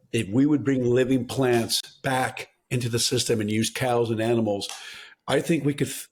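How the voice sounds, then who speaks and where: An American man from New Mexico speaking as a podcast guest, blunt, exasperated and emphatic in an impassioned discussion. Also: mid-range voice. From New Mexico, United States. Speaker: guest soil health advocate.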